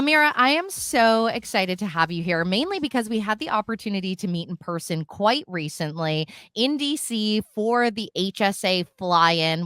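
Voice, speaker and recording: Woman podcast host, very animated intonation. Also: lowish voice, dry recording, studio quality. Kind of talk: scripted intro and interview hosting.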